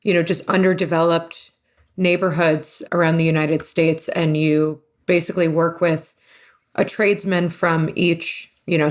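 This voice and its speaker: A woman from the East Coast of the United States talks as a guest in a casual interview. Her voice sits slightly low, and she is chatty and readily pokes fun at herself. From US East Coast, New Jersey and New York. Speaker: interview guest, renovation business partner.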